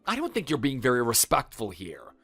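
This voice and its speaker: A man plays a cheating husband in an audio drama. His voice jumps around in pitch as he stammers defensive, pleading excuses, with faint music underneath. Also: huge pitch swings, brisk, a bit bright, dry recording, heavily acted. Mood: panicked, pleading. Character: cheating husband caught in a motel, defensive, flustered liar. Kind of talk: dramatized audio story acting.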